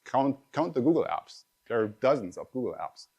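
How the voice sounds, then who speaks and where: A man whose first language is German gives a tech conference talk at an even, middle pitch. He speaks to the room in a lively, conversational way and turns to the audience with questions. He sounds middle-aged. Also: moderate pace, gravelly, breathy edge.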